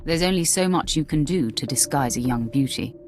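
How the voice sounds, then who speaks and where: A female Sith warrior from a video game. She sounds cool, measured and authoritative, with dry confidence, over faint music. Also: low voice, animated. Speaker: player character in a video game. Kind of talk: scripted video game dialogue acting.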